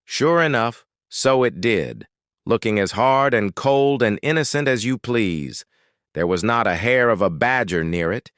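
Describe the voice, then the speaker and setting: Animated male storyteller, very close dry sound. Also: mid-range voice, measured pace, no echo. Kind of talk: dramatic folktale narration.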